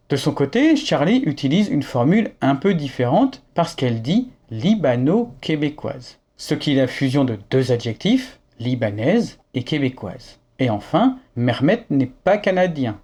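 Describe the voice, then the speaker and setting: A man teaching a French lesson, explaining patiently and clearly to the learner. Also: medium pitch, darkish tone. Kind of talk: scripted lesson narration.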